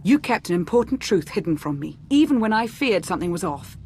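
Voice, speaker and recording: An actress playing a composed, principled adviser in a video game, speaking in a measured, firm and earnest way. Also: female voice, fairly low pitch, sing-song, darkish tone, a little hiss or hum. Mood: admonishing. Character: composed, principled, pragmatic female commander's adviser. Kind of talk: dramatic video game acting.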